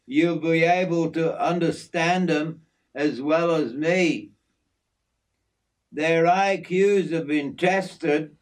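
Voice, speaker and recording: A man's voice: fairly high pitch, voice pushed up. A man voicing a friendly middle-aged Australian working man and father, with a broad, slangy, matey drawl, in an audiobook. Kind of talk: storybook character voice.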